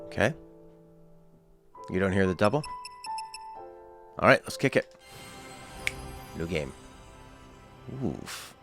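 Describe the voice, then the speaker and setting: Deep-voiced man chatting over game music. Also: takes it slowly, long pauses. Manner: chatty, reacting aloud with sudden excited exclamations. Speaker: game streamer. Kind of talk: casual let's-play commentary. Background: game music and sound.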